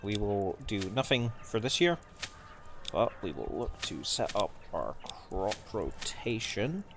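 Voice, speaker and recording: A man giving casual, subdued gameplay commentary in halting phrases, his voice slightly off from a sore throat. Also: lowish voice, very animated. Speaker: video game let's player. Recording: clearly noisy background.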